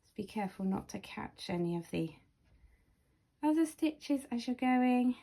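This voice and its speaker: A woman with a slightly croaky voice giving casual, step-by-step craft instruction as a tutorial host, speaking carefully and clearly into a built-in microphone. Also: a little high, animated, measured pace, mellow. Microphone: camera or phone's built-in microphone, no external mic.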